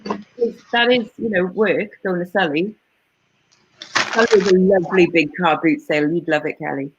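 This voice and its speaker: A woman's voice: fairly low pitch, moderate pace, muffled recording, background noise. Chatty Welsh woman hosting a live stream. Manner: chatty, rambling, full of fillers and restarts. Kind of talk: casual chat.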